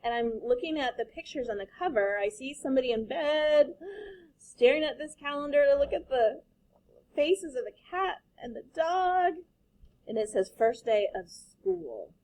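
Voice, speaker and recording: Expressive woman reading aloud, fairly high voice. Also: low-quality recording. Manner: expressive, explanatory. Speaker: read-aloud teacher. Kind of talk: picture book read-aloud with commentary for children.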